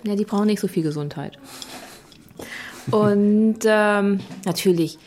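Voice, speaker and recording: A woman with a medium-pitched voice gives casual, frank answers. She sounds matter-of-fact, with faint noise behind her. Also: measured pace, darkish tone.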